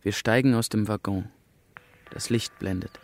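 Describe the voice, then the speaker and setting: A numb, bleak prisoner telling his story in a radio play. The voice is slightly low and very flat in pitch. He speaks in stark, detached fragments. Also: unhurried, slight background noise. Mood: desolate, detached. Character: male deportee, bleakly recounting camp arrival, numb. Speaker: actor narrating in a radio drama. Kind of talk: German radio drama monologue.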